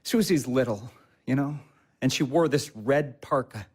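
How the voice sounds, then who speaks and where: A man's voice: medium pitch, unhurried, breathy edge. An actor playing an earnest, bookish young husband in an audio play, hesitant and apologetic as he tries to comfort. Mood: wistful, confiding. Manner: hesitant, self-correcting, apologetic. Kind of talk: naturalistic audio play dialogue.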